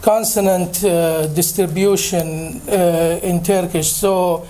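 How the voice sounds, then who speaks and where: A man presenting at a conference, answering audience questions hesitantly with many filler pauses. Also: high-pitched, steady tone, mellow. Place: conference room.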